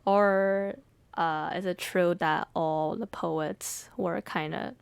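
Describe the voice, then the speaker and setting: A woman's voice: on the low side, steady tone, slow pace, vocal fry, clean tone, dry recording. A young adult woman whose first language is Mandarin, speaking as a podcast host in a reflective, confiding, conversational monologue.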